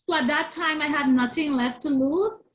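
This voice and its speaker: A woman's voice: high-pitched, normal speed. A woman with a stutter and a lisp, earnestly telling her story as an interview guest, often repeating words as she restarts. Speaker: author and parent coach.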